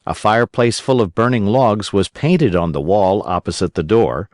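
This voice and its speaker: A man reading a children's story as an audiobook narrator, in a gentle, expressive storytelling manner. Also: on the low side, moderate pace, no echo, clean studio sound.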